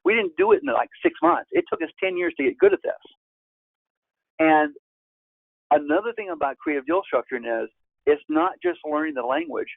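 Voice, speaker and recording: A gravelly man in his fifties is heard over a thin, phone-like line with a dry, close sound. He teaches like a seasoned presenter, stressing his points with sudden leaps upward in pitch. His voice sits at an ordinary middle pitch between those leaps. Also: sing-song, normal speed, no room sound. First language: Spanish. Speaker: real estate investor presenting a course. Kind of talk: webinar presentation.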